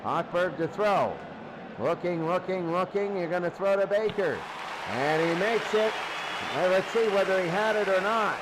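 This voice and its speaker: A man calling football play-by-play live in an excitable, exclamatory way, often repeating phrases. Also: sits high, moderate pace, background noise. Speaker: play-by-play sports commentator. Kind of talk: live American football commentary.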